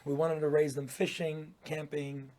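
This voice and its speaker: A man's voice: fairly high pitch, level tone, a little slow, a little mellow. A man in his thirties from Puerto Rico, a business owner chatting easily as an interview guest, laughing often and using plenty of fillers. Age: 30s. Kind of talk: casual interview chat.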